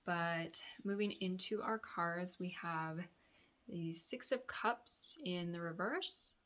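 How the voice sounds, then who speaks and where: Woman, raspy dry throat, lowish voice, tarot reading vlog, reflective and meandering. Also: slow, fairly bright tone. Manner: reflective, meandering guidance addressed to viewers. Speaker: tarot reader and yoga therapist. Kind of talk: weekly tarot forecast vlog.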